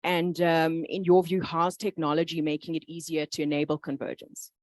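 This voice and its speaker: A woman's voice: low-pitched, flat delivery, normal speed. A woman moderating a business panel, polite, with frequent "um".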